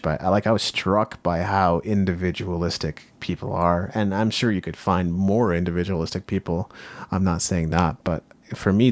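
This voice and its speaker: A man's voice: sits low, no room sound. A man co-hosting a podcast, giving his opinions casually and reflectively, often saying "like" and rephrasing as he goes. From Japan. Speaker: podcast co-host.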